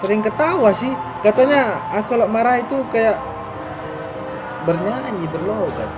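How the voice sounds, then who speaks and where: A man's voice: sits high, animated, normal speed, loud music behind the voice. A man from Bali with a Balinese accent, co-hosting a casual chat and animatedly addressing the viewers as guys. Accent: Balinese Indonesian. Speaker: co-host.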